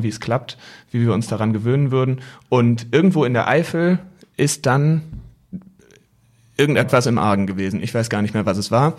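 Young male guest, medium pitch, casual, reflective storyteller with hesitant delivery. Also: a little mellow. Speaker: podcast guest recalling family travels.

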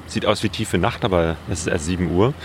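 A man with a fairly low voice narrates a casual travel vlog outdoors. He talks in a relaxed way with many fillers, and faint outdoor noise sits behind him. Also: a little fast. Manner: relaxed, filler-heavy talk. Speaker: travel vlogger.

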